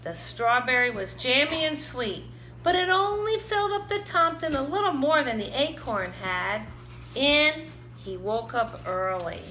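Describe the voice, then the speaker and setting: Bright-voiced woman reading a children's story. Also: sits high, measured pace. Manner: animated, dramatizes characters' lines. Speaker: storybook reader. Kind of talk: expressive children's read-aloud. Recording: roomy, echoing, some background noise.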